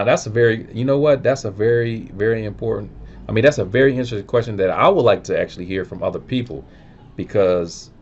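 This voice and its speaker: Low-voiced man, easygoing chat. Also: a little hiss or hum. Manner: easygoing, thinks aloud, loose and informal. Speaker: language-learning channel host answering viewer questions. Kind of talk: casual unscripted chat. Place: filming himself on camera at home. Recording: dull lo-fi recording.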